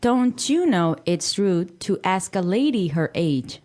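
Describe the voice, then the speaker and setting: A woman's voice: fairly low pitch, very animated, slow, a little mellow. A woman playing a friendly young Mexican businesswoman riding in a taxi, carefully enunciated for a language lesson. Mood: playful. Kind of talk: acted language-lesson dialogue.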